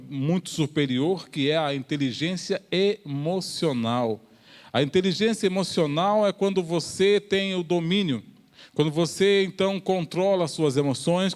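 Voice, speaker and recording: Brazilian guy preacher, pretty high voice, explaining stuff in a sermon, stops and repeats himself. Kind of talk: church sermon.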